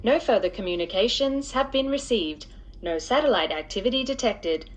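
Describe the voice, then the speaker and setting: An actress voicing a calm, matter-of-fact ship computer that reports precisely in a sci-fi audio drama. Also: female voice, a little high, moderate pace, lo-fi sound, lightly acted. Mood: flat, grave. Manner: impassive, precise.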